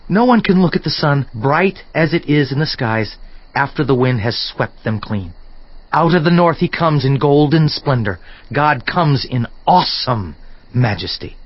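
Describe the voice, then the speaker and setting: An earnest biblical orator played by a man argues for the majesty of God with reverence, in a mid-pitched voice. It is dramatized scripture audio with a slightly dull sound. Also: dry recording, natural voice. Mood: reverent awe. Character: earnest man preaching God's awesome majesty in debate.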